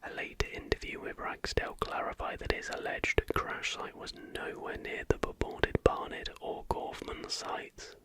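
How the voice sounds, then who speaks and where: A man narrating in an unvoiced, breathy whisper as the softly spoken host of an ASMR channel. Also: moderate pace, hardly any pauses.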